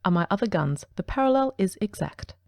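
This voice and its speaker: A woman voicing a brilliant male detective who speaks in a brisk, precise, ironic way, in an audiobook reading. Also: mid-range voice, expressive, creaky, no room sound, studio quality.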